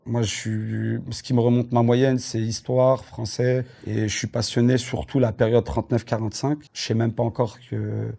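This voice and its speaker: A man in his thirties from France, telling his life story as an interview guest, halting and full of fillers and restarts. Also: mid-range voice, flat delivery, gravelly, mellow. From Dreux, France.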